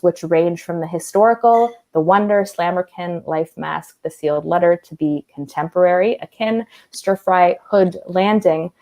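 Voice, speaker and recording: Woman with a pretty low voice, hosting an online library event, introducing the guests. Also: a little mellow.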